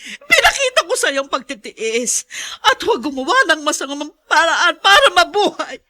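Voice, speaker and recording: A woman's voice: sits high, big pitch swings, moderate pace, sharp tone, full character voice. An actress playing an upright, long-suffering middle-aged mother with failing eyesight, emotive and emphatic in a radio drama style. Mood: anguished, resolute. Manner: emotive, emphatic, moralizing delivery. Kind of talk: Filipino radio drama acting.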